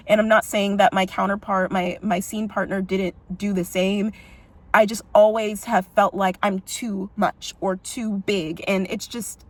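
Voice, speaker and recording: A young woman with a big, expressive voice talks through her own story in a casual vlog. She speaks with feeling, often saying "like" and breaking into laughs. Her pitch sits in an ordinary middle range. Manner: expressive and emotional, frequent "like" fillers and laughs. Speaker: vlogger and musical theatre performer.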